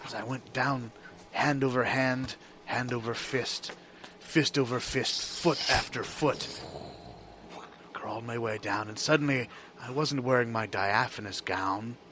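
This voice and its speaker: An actor playing an anxious man recounting a surreal dream in a dramatic, halting, repetitive way, in improvised audio theatre. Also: mid-range voice, pushed and bright, slight background noise. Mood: dreamy, wondering. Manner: dramatic, halting, repeats himself.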